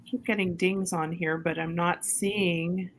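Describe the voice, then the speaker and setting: Lady hosting a livestream, lowish voice, lots of ums, faint hum behind. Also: steady tone, unhurried. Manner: hesitant, many ums while waiting for comments. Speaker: livestream host. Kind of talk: hosting a livestream, reading viewer comments.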